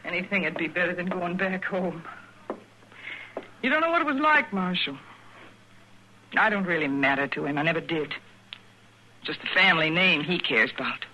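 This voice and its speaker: Runaway saloon girl, very bright tense voice, bitter, resolute, American, faintly noisy old radio drama. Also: fairly low pitch, animated, normal speed, long pauses. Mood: bitter defiance. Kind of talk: 1950s radio western acting.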